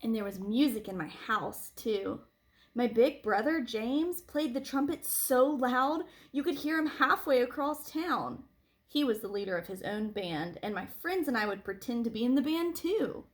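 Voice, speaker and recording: High-voiced woman reading a children's story. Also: lively intonation, moderate pace. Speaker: teacher reading a picture book aloud. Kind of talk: expressive read-aloud storytelling for children.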